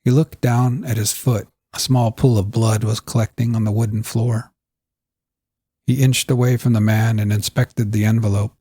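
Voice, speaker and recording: A man narrating a scripted story as a fiction podcast host, with a measured storytelling delivery. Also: lowish voice, little pitch movement, warm tone, breathy edge, dry recording, clean studio sound.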